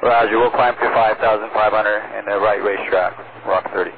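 A man's voice: lowish voice, fairly even intonation, brisk. A man piloting a small plane, giving clipped readbacks over aviation radio. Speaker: aircraft pilot. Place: small aircraft cockpit. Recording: tinny narrowband cockpit radio with static.

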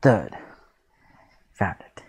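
Expressive man reading aloud, dry close sound. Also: drawn-out words, dry recording. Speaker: reactor reading a horror comic aloud. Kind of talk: casual read-aloud with spontaneous reactions.

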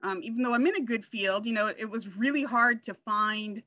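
A woman in her forties talks in a bright, forceful, fairly high voice. She tells personal stories casually, and the call audio is a little dull. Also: moderate pace, dry recording. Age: 40s. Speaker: guest sharing her success story. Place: indoor room, video call.

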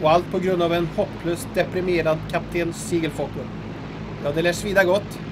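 Guy doing a wry wordy airman sergeant, highish voice, faint engine noise, radio play. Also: fairly even intonation, natural voice. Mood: dry, playful. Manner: wry, florid phrasing. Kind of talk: audio drama acting.

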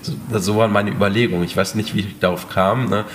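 A man who is a chef, answering interview questions in a relaxed, hesitant way with many fillers. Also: on the low side, quick pace, a little hiss or hum. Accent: German. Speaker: chef, restaurant owner. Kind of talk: casual interview talk.

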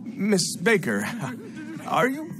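Game role: obsequious casino host, highish voice, very animated, music behind. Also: male voice, slow, exaggerated voice. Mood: deferential, flustered. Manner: obsequious, flustered, formal. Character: fussy, eager-to-please male casino host. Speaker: casino guest services director. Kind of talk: video game cutscene acting.

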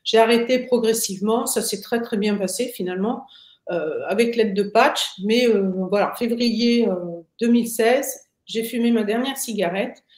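A woman of sixty-six gives a personal account in conversation, often halting to find her words. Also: a little high, a little mellow. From Paris, France. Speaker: interview guest, retiree. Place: indoors, plain room on video call. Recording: roomy, echoing video call.

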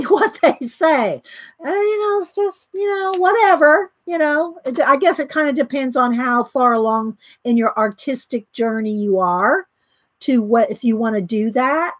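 A woman's voice: high-pitched, no room sound. A woman hosting a live art stream, chatting and giggling with viewers by name while reading from a book at her craft table. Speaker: art livestream host.

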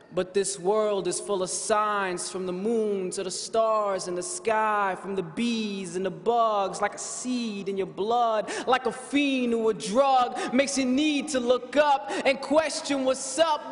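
A man in his thirties performing rhythmic, rhyming spoken word poetry at a podium on a large conference stage, moving between soft lines and shouts. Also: very high-pitched. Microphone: podium microphone. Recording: hall reverb.

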